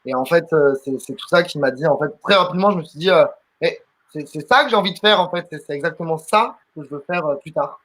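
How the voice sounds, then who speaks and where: A man's voice: fairly high pitch, quick pace, fairly bright tone. A man in his twenties, a comedian from southern France, answering interview questions in a chatty way with many fillers and restarts. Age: 20s. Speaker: guest comedian.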